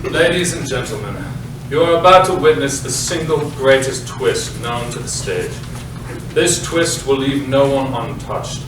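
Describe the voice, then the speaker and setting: Pompous male playwright, played by a man, slow and grandiose, very reverberant, distant mic. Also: medium pitch, lightly acted. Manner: grandiose, declamatory, overemphatic. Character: pompous self-important male playwright, bitter about his ex-wife. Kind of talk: theatrical narration in a comic staged reading. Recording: echoey hall from far off, noisy.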